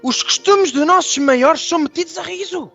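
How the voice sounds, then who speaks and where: An actor playing a pompous, old-fashioned rural nobleman in a radio drama, declaiming in a halting way. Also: extremely high pitch, vocal fry, fairly bright tone, exaggerated voice. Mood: pompous lament. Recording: dull lo-fi audio over music.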